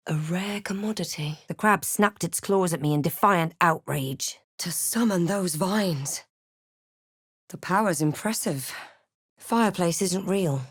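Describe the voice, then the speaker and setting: A woman playing a wary, snarky fantasy adventurer in a video game, speaking and narrating in a measured, wry, dramatic way. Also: lowish voice, slightly rough, no room sound, studio quality.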